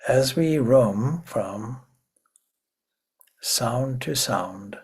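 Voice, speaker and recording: A man leading a guided meditation as a spiritual teacher, in a hushed, measured manner with long pauses and a breathy, husky voice. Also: medium pitch, lively intonation.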